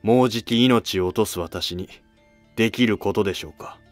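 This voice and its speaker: A man's voice: on the low side, moderate pace, no echo, soft background music, lightly acted. A man playing an ailing, wary old noble who masterminds plots, with terse archaic speech, in a history drama. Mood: wary, puzzled.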